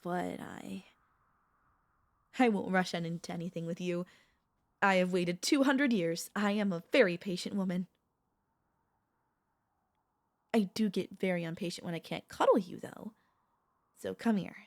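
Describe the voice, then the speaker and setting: A woman playing a possessive, doting royal vampire mistress in an intimate ASMR audio roleplay, speaking in a tender, teasing, halting way with a creaky voice. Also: lowish voice, lightly acted. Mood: teasing, longing. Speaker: voice actor and vtuber.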